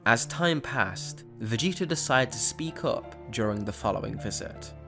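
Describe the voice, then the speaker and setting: A man's voice: medium pitch, lively intonation, normal speed, vocal fry. A man narrating a scripted dramatic story in the role of storyteller. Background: music.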